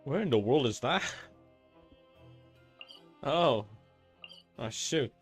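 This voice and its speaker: A woman's voice: rumbling low, expressive, slow, faint music behind. A woman giving casual commentary as she plays through a game. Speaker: gameplay streamer.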